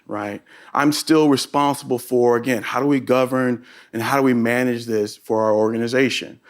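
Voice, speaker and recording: Texas-accented man presenting at a tech conference. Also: mid-range voice. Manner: engaging, keeps asking "right?". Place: conference session room.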